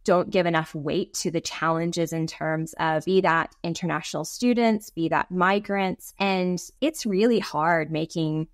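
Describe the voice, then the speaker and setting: An American woman in her thirties, a guest talking over a video call from a home office. She tells stories in a chatty, restarting way. Her voice sits a touch low and the sound is dry and close. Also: fairly even intonation, a little slow, hardly any pauses, no room sound. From United States. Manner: chatty storytelling, frequent restarts. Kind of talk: conversational interview.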